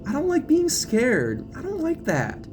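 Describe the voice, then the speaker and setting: Man voicing a sassy, high-pitched young woman. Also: huge pitch swings, a little slow, exaggerated voice. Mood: irritated. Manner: exclamatory, scolding, streetwise. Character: frightened, sassy, sharp-tongued young woman moviegoer. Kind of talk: audio drama character reading. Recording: music playing behind the voice.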